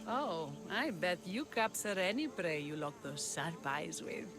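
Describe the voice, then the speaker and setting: A woman playing a brave young rebel leader and huntress in a video game, haunted yet tender, speaking in an earnest, measured, dramatic way. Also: fairly low pitch, very animated. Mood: teasing. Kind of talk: cinematic video game acting. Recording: cinematic music behind the voice. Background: music.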